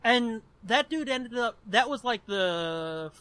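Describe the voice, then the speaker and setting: A man's voice: very high-pitched, animated, takes it slowly, bright, forceful tone, clear, resonant tone. An American man in his twenties hosting a podcast, chatting casually and telling personal stories in a rambling, profanity-laced way. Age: 20s. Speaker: podcast host.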